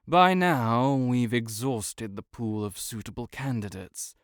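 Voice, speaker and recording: A man's voice: medium pitch, lively intonation, slow, dry recording, studio quality. A man playing a proud, sardonic invalid nobleman in an audio roleplay, speaking with disdainful, formal, old-fashioned diction. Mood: haughty, dismissive. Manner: haughty, archaic formal diction, disdainful drawl. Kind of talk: scripted audio roleplay monologue.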